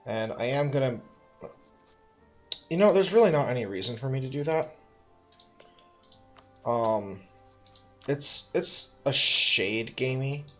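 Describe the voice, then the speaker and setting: A man's voice: mid-range voice, expressive, slow pace, long pauses, a bit bright, lo-fi sound, faint music behind. A man thinking aloud as he plays a strategy game, musing, correcting himself and making dry asides. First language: English. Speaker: let's play host.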